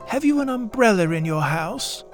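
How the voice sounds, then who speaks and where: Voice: mid-range voice, sing-song, soft background music, close to an everyday voice. A performer as an earnest, solemn little storybook bear. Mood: hushed, scheming. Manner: deliberate, solemn, gently anxious. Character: earnest, slow-witted, self-important little toy bear. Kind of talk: children's storybook readers theatre.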